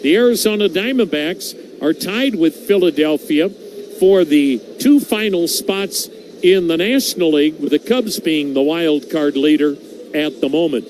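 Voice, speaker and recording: A man with an American accent calling baseball play-by-play on radio, in folksy, measured phrases. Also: fairly high pitch, a little mellow. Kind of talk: live radio sports commentary. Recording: noisy ballpark ambience behind.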